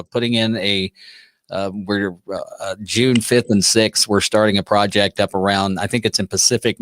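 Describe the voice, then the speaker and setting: A man with a lowish voice hosts a relaxed interview and rambles through his thoughts, with plenty of fillers and false starts. The recording is dry and close, with no room sound. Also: no echo. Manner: rambling, many fillers and restarts. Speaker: show host. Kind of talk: casual interview chat.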